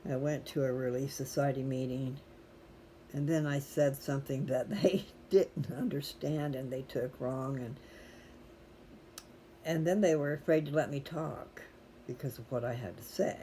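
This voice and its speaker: A mellow, relaxed voice with a folksy way of talking to viewers. The host rambles casually from one aside to the next, over a faintly noisy home-style recording. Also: medium pitch, measured pace. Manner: chatty, folksy, digressive. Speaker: host reading scripture aloud for viewers.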